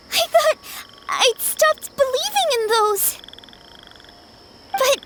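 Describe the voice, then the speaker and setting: A woman playing a gentle, wistful little girl in a video game, speaking softly and sincerely with some hesitation. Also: very high-pitched, background noise, heavily acted. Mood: wondering.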